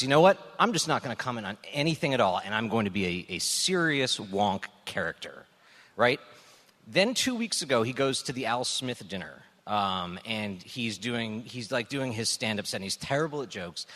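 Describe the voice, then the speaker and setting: Animated, wry man in an echoey hall. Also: mid-range voice. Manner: wry, exasperated, acts out mock quotes. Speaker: political journalist panelist. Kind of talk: animated political rant on a panel. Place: stage in a live venue. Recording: echoey hall live recording.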